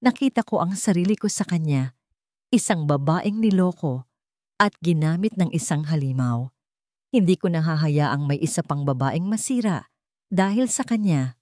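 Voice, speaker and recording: A woman's voice: sits low, normal speed, no echo, studio quality. A woman voicing a coldly determined, vengeful witness in a story, speaking firmly and steadily. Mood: bitter, resolute. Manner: firm, steady.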